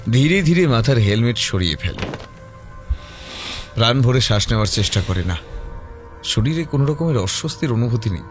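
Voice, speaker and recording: Guy narrating a mystery horror story, dramatic, swoopy mid voice, soft music behind. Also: mid-range voice.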